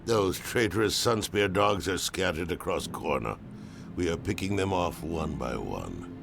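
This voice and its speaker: An arrogant, cruel army commander in a fantasy game. His low voice is gravelly and swings widely as he sneers and gives orders. Loud music plays behind him. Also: expressive, unhurried, exaggerated voice. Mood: smug, scornful. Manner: contemptuous, commanding. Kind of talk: dramatic video game cutscene acting.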